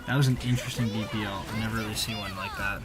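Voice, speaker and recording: A man giving casual, slangy voice-over commentary on gameplay, conversational with animated reactions. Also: fairly low pitch, rapid-fire, loud music behind the voice. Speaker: gaming video creator.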